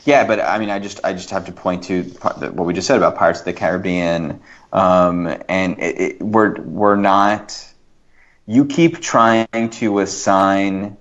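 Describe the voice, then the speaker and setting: Deep-voiced man in casual discussion, dull recording. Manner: halting, reworks sentences as he argues. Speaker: podcast guest.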